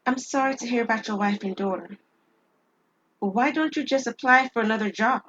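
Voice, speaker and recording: A woman's voice: on the high side, normal speed, a bit bright, muffled recording, a little hiss or hum, lightly acted. A woman playing a nervous but bold store cashier, speaking cautiously in an audio drama. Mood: concerned. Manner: cautious, questioning.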